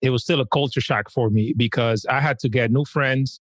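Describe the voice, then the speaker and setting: A man from the Dominican Republic, a guest on a podcast, telling his story in an easygoing, warm way. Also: medium pitch, fairly even intonation, moderate pace, no room sound. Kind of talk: casual interview chat.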